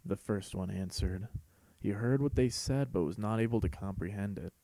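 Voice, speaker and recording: A man's voice: fairly low pitch, moderate pace, gravelly, warm tone, dry recording. A man reading a scripted fan fiction story as host and narrator.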